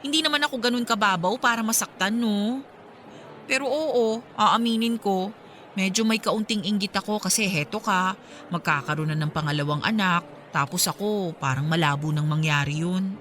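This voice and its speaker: An actress playing a candid, supportive young wife who longs for a child, in an expressive Filipino radio drama. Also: female voice, mid-range voice, normal speed, a little hiss or hum, close to an everyday voice. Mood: sincere, bittersweet.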